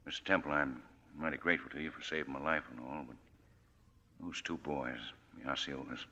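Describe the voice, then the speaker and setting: A remorseful, courteous lawman in vintage radio drama. His very deep voice is hesitant and subdued, on a muffled old recording. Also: fairly even intonation, fairly quick, bright, forceful tone, slight background noise. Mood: somber. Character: slow, remorseful, polite local lawman. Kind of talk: 1940s radio drama acting. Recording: muffled 1940s radio broadcast.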